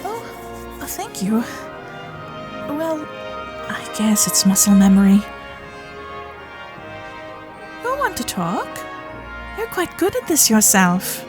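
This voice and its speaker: A woman voicing a frank, flustered young Gilded Age society lady in a romantic ASMR audio drama, speaking earnestly in formal period phrasing with breathy asides. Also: a little high, very animated, a little slow, long pauses, music in the background. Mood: flustered, playful.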